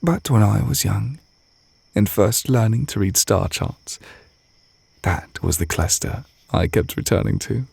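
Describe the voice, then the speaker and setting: A man acting a gentle, adoring witch boyfriend in an intimate ASMR roleplay, soft-spoken and tender with a light teasing manner. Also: fairly low pitch, unhurried, gravelly, husky, no echo, heavily acted. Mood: gentle, reflective.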